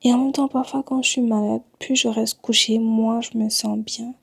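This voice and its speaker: A woman from Senegal vlogging at home while sick and tired, talking in a low-key, weary way. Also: fairly even intonation, moderate pace, gravelly, breathy edge, no echo, studio quality. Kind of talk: casual vlog talk. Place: at home in Montreal.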